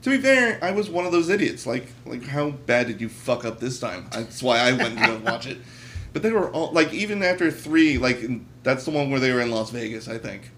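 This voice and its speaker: A man with a medium-pitched voice co-hosts a casual podcast. He talks in a blunt, emphatic way, joking and swearing as he gives opinions. A faint noise sits behind his voice. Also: expressive, fairly quick, fairly bright tone. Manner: blunt, emphatic, profane, jokey. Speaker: podcast co-host.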